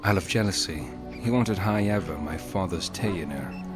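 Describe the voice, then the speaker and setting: Actor as deep, grim noble warrior. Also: male voice, moderate pace, natural voice. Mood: grim resolve. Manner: terse, stern, controlled. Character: grim, vengeful noble young male warrior. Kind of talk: dramatic video game acting. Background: music.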